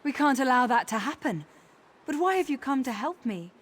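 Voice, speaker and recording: An actress voicing a proper, indignant orc high priestess in a fantasy game, formal, earnest and emphatic. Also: female voice, very animated, normal speed, slightly rough, exaggerated voice. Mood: determined.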